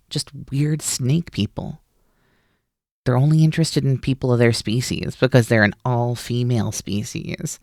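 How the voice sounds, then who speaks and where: Unhurried, teasing snake-demon roommate, acted out by an asmr performer, playful and bossy, really dry close sound. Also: mid-range voice, vocal fry, dry recording. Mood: mock offended. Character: mischievous, teasing naga snake-demon roommate, coaxing and dominant. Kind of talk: ASMR roleplay.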